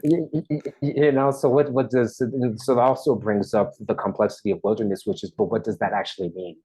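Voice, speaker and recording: A man in his forties from the US East Coast, speaking as an interview guest in a casual, halting way with many restarts. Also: lowish voice, slightly rough, rough recording. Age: 40s. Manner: halting, many restarts, lively when enthused. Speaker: documentary artist guest.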